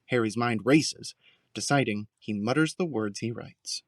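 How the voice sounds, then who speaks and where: A man's voice: mid-range voice, unhurried, dry recording, clean studio sound, distant mic. A man narrating an audio drama from a script in a dramatic storytelling style.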